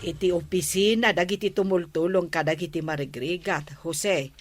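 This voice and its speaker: A woman's voice: on the low side, steady tone, moderate pace, close to an everyday voice. A woman playing a village captain's wife in a radio comedy drama, speaking politely and earnestly.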